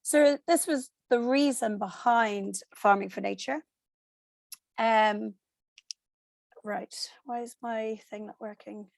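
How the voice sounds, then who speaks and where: An Irish woman, hoarse from a cold, giving a steady online presentation over a video call and explaining with frequent ums. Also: a little high, takes it slowly, pauses often, dry recording. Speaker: guest speaker.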